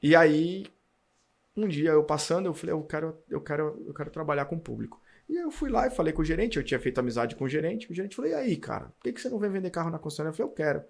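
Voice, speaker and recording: A man, a podcast guest telling his career story in an animated way. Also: fairly high pitch, very fast, gravelly. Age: 40s. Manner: animated storytelling, acts out past dialogue. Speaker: podcast guest, car dealer. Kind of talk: casual storytelling interview.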